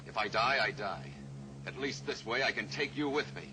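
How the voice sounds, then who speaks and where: An actor playing a defiant but cowardly murderer held captive in an old-time radio drama. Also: male voice, medium pitch, expressive, normal speed, natural voice. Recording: noisy old radio recording, engine drone.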